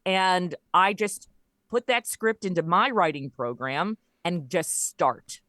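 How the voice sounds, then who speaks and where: A woman's voice: lowish voice, animated, slow, a bit bright, no room sound, studio quality. A woman speaking as an interview guest, telling stories in a casual, conversational way. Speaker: podcast guest, audio comedy creator.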